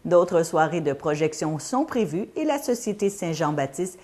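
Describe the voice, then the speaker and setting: A woman's voice: on the low side, big pitch swings, moderate pace, a little mellow. A woman reads scripted narration as a local television news reporter.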